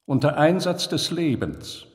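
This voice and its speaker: A man reading a scripted literary story with deliberate, dramatic phrasing and pauses. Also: fairly high pitch, sing-song. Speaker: narrator. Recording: long hall reverb.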